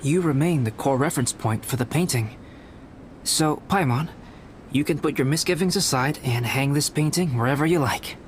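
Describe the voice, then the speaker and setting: A man voicing a calm, polite young alchemist painter in a video game, soft-spoken, measured and courteous. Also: a little high, a little hiss or hum, close to an everyday voice. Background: game music.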